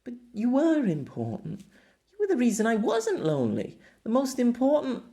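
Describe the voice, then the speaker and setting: A man's voice: very high-pitched, wildly swooping pitch, moderate pace, creaky, dark tone, voice pushed up, full character voice. A man voicing a shy but teasing young woman adventurer in an audiobook, in a hesitant, playful way. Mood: tender.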